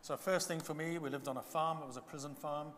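A man's voice: fairly high pitch, steady tone, quick pace, a bit bright, slight background noise. Older man giving testimony. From Zambia. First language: Bemba. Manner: humorous, self-deprecating storytelling. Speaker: guest speaker giving testimony. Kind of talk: church testimony talk. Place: church hall. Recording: reverberant hall, faint ambience.

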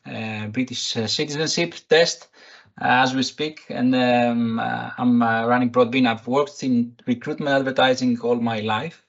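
A man with a Greek accent, a recruitment technology executive answering interview questions in a halting way, full of fillers and restarts. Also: medium pitch, gravelly, lo-fi sound. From Greece. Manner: hesitant, many fillers and restarts.

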